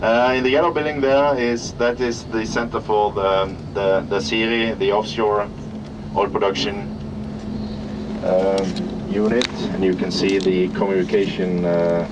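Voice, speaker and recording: A man with a Norwegian accent leads a tour in a lowish voice, stopping now and then to find the English words. He is heard from some way off the mic. Loud traffic and machinery noise fill the outdoor recording. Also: steady tone, far from the mic. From Norway. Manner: halting, searching for English words. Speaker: tour guide at an industrial plant. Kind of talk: guided tour commentary. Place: outdoors at an industrial site.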